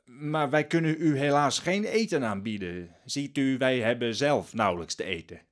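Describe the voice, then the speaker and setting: A man plays a poor peasant family father in a radio play. His voice sits at an average male pitch. He is plain-spoken and wary, with a firm, matter-of-fact way of talking. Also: animated, moderate pace, close to an everyday voice. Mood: polite, reluctant. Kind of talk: radio drama acting.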